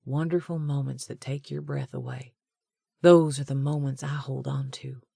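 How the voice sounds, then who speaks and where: A woman voicing a passionate grown man, a romantic hero who murmurs softly and snaps back hard when challenged. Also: steady tone, normal speed, gravelly, husky, no echo, clean studio sound, full character voice. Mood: tender. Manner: low murmured tenderness turning to heated retorts.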